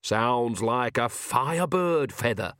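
A man's voice: mid-range voice, lively intonation, slow pace, a bit bright, no room sound, clean studio sound. A man voicing a blunt, homely older woman witch in a storybook reading, speaking unhurriedly.

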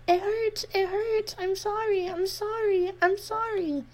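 A woman's voice: extremely high pitch, fairly even intonation, a little slow, a little mellow, voice pushed up. A woman voicing a clingy, unsettling teenage girl who speaks in a sweet singsong voice. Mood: pained pleading. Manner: singsong, then pleading in pain.

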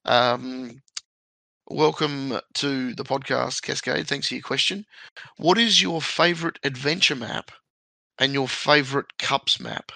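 Gravelly-voiced chatty male podcast host. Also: medium pitch, a little slow, sharp tone, no echo. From Australia. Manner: chatty, laughs at his own stories. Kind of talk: casual podcast chat.

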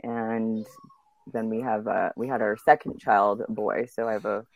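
A woman in her thirties, a guest chatting on a podcast. Her pitch is extremely low for a woman, with plenty of vocal fry. The sound is dry, with no room around it, and her delivery is conversational. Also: steady tone, normal speed, dry recording, muffled recording. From Canada, born in Uganda. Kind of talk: casual conversational interview.

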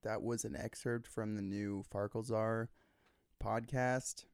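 Deadpan gravelly-voiced man. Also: fairly low pitch, slow pace, dry recording. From Nebraska, US. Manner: deadpan, joking. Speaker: guest musician. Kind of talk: casual interview.

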